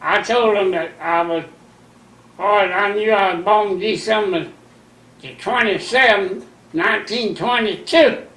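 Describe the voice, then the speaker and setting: A man in his nineties slowly recounting old memories in a folksy, rambling way, using plain rural grammar. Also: high voice, bright, forceful tone, echoey room, poor audio. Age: 90s. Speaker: interviewee. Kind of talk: rambling recollection.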